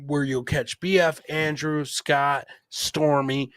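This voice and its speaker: A male podcast host with a sharp, forceful voice pitched a bit high. He chats casually and effusively on a livestream, giving shout-outs and calling people brother. The sound is close and dry. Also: flat delivery, slow pace, clean tone, no room sound. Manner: effusive, profane, calls guests brother.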